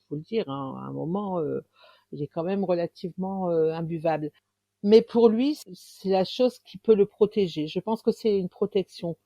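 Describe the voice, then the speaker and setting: A woman in her fifties from northern France, a romance author chatting in an interview, pausing often and restarting her sentences. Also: on the low side, mellow, no room sound, muffled recording. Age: 50s. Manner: hesitant, many pauses and restarts. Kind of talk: casual interview chat.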